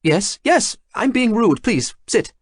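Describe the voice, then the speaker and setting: A man's voice: high-pitched, lively intonation, slow pace, no echo, clean studio sound, voice pushed up, heavily acted. A male narrator voicing an awkward, bashful young man who stammers and fumbles eagerly. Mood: flustered eager. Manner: flustered, stammering.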